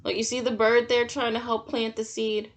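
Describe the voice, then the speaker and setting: A woman's voice: fairly high pitch, little pitch movement, moderate pace. A woman teacher reading a picture book aloud to young children, adding simple, encouraging comments and questions as she goes. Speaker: kindergarten teacher.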